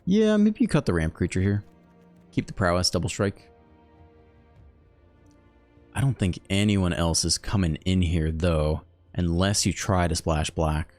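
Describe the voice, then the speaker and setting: A man hosting a card game video, thinking aloud in a relaxed, chatty way as he plays. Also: deep voice, no echo. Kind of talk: casual live gameplay commentary.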